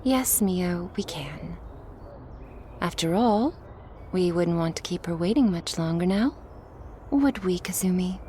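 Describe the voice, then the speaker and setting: Woman acting a slow, taunting vampire. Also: fairly low pitch, animated, mellow, a little hiss or hum. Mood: sly. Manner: teasing, provoking, smug. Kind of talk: scripted roleplay audio drama.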